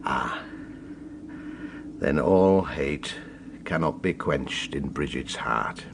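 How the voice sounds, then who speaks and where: A compassionate older male confessor in a radio drama. His low voice is measured and solemn, with formal phrasing. Faint ambience sits behind him. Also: lively intonation, vocal fry, noisy background, heavily acted. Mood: solemn, sorrowful.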